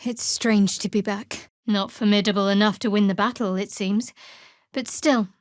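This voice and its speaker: A woman playing a guarded, sardonic young cleric of a dark goddess in a fantasy game, speaking in a measured, dry, wry way. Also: mid-range voice, steady tone, no room sound, close to an everyday voice. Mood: solemn, measured. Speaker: video game voice actor. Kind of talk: scripted fantasy game dialogue.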